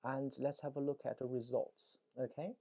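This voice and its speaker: A man's voice: mid-range voice, level tone, normal speed, dark tone. Man explaining a technical demonstration. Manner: explanatory, frequent check-in tags like "right?" and "okay?". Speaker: presenter, EMC engineer.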